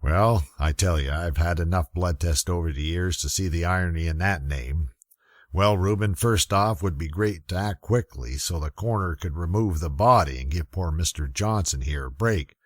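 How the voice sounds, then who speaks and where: A man playing a gruff, sardonic veteran police lieutenant in a radio detective drama, curt and commanding. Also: deep voice, normal speed, no room sound, clean studio sound. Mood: brisk, sardonic. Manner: curt, commanding, hard-boiled. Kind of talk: old-time radio detective acting.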